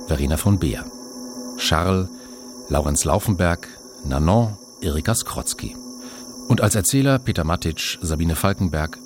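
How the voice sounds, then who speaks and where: A man reading scripted radio drama credits as an announcer. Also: rumbling low. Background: music.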